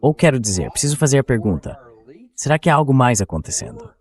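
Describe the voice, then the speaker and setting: A man's voice: medium pitch, sing-song, quick pace. A man with a mid-range voice hosts a political interview. He speaks as a dubbed voice-over of translated conversation, laying out his points at an ordinary pace. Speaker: host.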